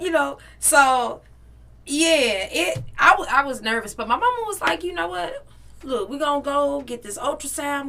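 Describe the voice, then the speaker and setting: A young woman co-hosting a podcast, telling personal stories in an animated, chatty way and talking straight to listeners. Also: high-pitched, vocal fry. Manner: animated, laughing, addresses listeners directly. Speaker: podcast co-host. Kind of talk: casual storytelling chat.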